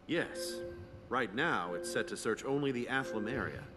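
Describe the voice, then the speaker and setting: An actor playing an obliging, precise academy scientist in a video game, explaining things politely and deferentially. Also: male voice, medium pitch, lively intonation, moderate pace, in a large hall, over music, close to an everyday voice. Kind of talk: video game cutscene acting.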